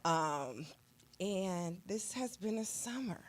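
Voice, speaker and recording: A woman's voice: on the low side, expressive, slow pace, slight background noise. A woman chatting casually on a radio show in an informal, slightly wry way. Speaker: show regular. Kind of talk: casual chat.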